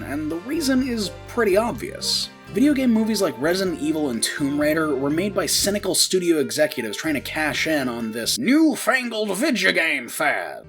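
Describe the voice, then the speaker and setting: Animated, high-voiced man narrating video commentary. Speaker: video essay host.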